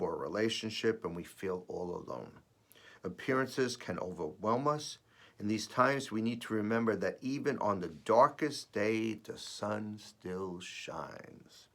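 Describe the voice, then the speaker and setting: A man with a raspy, slightly under-the-weather voice, reading and reflecting as host of an earnest spiritual message, with deliberate pauses. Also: fairly low pitch, fairly bright tone. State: voice rough while recovering from feeling unwell. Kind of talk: devotional reading and personal reflection.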